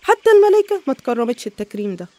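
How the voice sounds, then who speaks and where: A woman's voice: high voice, sing-song, very fast, dark tone, no room sound. A woman with an Egyptian accent narrating scripted religious stories in an explanatory, didactic manner.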